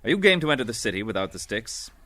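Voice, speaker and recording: A man playing a big, calm, commanding adventure hero in an old-time radio serial, measured and deliberate. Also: medium pitch, no echo. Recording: vintage radio recording with faint noise.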